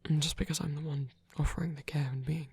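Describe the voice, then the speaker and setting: Breathy, whispering gentle vampire priest, acted by a man. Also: a little high, fairly even intonation, no room sound. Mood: earnest, vulnerable. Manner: slow, hesitant, reassuring, softly formal phrasing. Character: gentle, tender, shyly vulnerable old vampire priest, male lover. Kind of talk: intimate ASMR roleplay.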